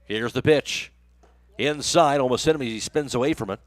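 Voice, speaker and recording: A man works as a radio play-by-play announcer, calling a baseball game live. His voice sits at a fairly high pitch for a man, and he follows the action in short running phrases. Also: moderate pace, dry recording.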